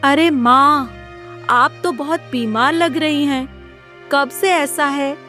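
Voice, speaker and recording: A woman playing a kind, selfless young married village woman in a moral story, gentle and reassuring. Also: high-pitched, slow pace, soft background music, full character voice. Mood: caring. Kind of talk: dramatized Hindi moral story.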